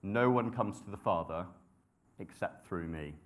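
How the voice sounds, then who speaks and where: Young male preacher, lowish voice; relaxed, humble sermon delivery with filler words and restarts. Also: a little slow. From grew up in Nepal and the UK. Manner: conversational, self-deprecating, with frequent ums and restarts.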